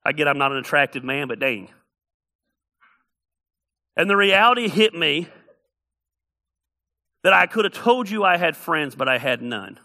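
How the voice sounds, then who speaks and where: A man's voice: fairly high pitch, expressive, unhurried, vocal fry, long pauses, sharp tone. A middle-aged man preaching a sermon as pastor, emphatic and repeating key phrases for effect.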